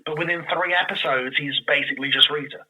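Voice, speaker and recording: A man's voice: fairly high pitch, fairly even intonation, quick pace, bright, forceful tone, slightly rough, poor audio. A man talking casually and enthusiastically as an interview guest, animatedly acting out imagined reactions as he explains. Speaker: guest, audio drama creator.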